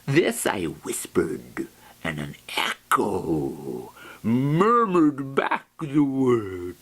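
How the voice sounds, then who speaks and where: A man giving a theatrical, demented, melodramatic recitation of poetry in a gravelly, creaky voice. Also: medium pitch, sing-song, extremely slow. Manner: demented, melodramatic, with wild swings in delivery.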